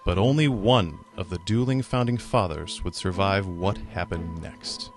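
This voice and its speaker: Brief: grave male documentary narrator, lowish voice, loud score underneath. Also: unhurried. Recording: voice-over over loud music.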